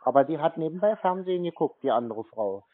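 Persistent man with highish voice, phone-like sound. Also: expressive, fast talker. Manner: naive-sounding, persistent, questioning. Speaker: prank caller posing as customer. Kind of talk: phone conversation. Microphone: telephone.

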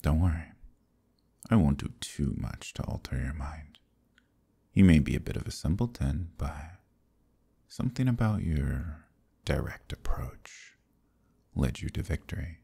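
Demon king, dark mellow tone, gravelly, condescending, softly threatening, seductive, ASMR roleplay. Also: animated, exaggerated voice. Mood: possessive, taunting. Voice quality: very deep, gravelly, breathy. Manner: slow, smug, softly threatening. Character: menacing, seductive male demon king, calm and condescending villain. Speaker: voice actor.

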